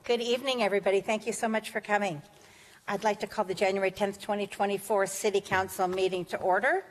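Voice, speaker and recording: A woman's voice: medium pitch, steady tone, fast talker. A woman acting as mayor pro tem, chairing a city council meeting in a friendly, chatty, plain-spoken way. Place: council chamber. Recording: long reverb in a council chamber.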